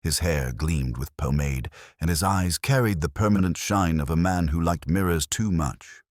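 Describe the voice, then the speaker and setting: Very deep-voiced male detective story narrator. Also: normal speed, darkish tone, no room sound, studio quality. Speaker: audiobook narrator. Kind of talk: scripted first-person detective story narration.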